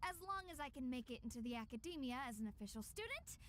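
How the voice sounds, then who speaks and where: An earnest young student. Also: female voice, high voice, sing-song, fast, slight background noise. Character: young woman, hopeful failed student. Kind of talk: video game voice acting.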